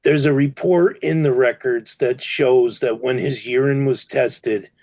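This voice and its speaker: A man's voice: medium pitch, fairly even intonation, measured pace, a little mellow, no echo. A man talking casually in a podcast discussion.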